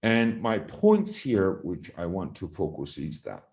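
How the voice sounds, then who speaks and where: The lowish-voiced Georgian-accented man at a policy conference, middle-aged, feeling his way through his presentation and leaning on the key words. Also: sing-song, measured pace, a little mellow. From Georgia (the country). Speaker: conference presenter on health governance. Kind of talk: formal presentation, speaking extempore.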